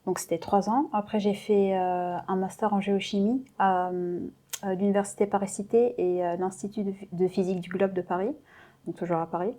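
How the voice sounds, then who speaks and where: A young woman from Lebanon, a doctoral student guest, speaks in a mellow voice. She answers hesitantly with frequent fillers and a modest manner. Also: mid-range voice, steady tone, normal speed. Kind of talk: interview answers.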